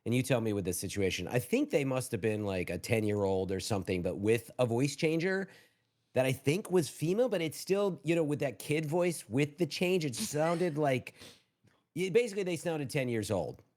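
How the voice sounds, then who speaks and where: Male podcast co-host, mid-range voice, very animated, slangy and informal storyteller. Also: medium pitch, normal speed. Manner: informal, peppered with "like" and "dude". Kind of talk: casual gaming podcast chat, anecdotal storytelling.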